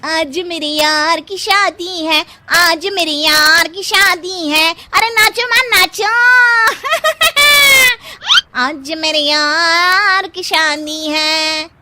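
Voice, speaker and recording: A woman playing a brash, drunken young daughter-in-law in an animated comedy story, slurring. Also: very high voice, drawn-out words, background noise, exaggerated voice. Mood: rowdy.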